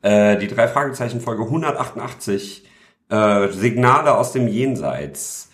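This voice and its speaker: A man's voice: fairly low pitch, expressive, unhurried, fairly bright tone. A man co-hosting a casual podcast chat, talking enthusiastically with many filler sounds. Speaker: podcast co-host. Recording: roomy echo.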